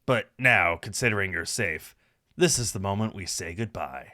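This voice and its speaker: A man voicing a calm, worldly male fox adventurer, giving measured, matter-of-fact advice in a visual novel. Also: sits low, no echo, studio quality. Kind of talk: visual novel character read-aloud.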